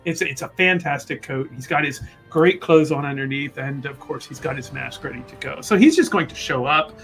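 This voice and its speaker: A man with a slightly high voice casually describes his character in a tabletop game. He rambles and hesitates as he makes it up, with faint music behind him. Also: fairly quick, a bit bright. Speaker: player in a tabletop roleplaying game.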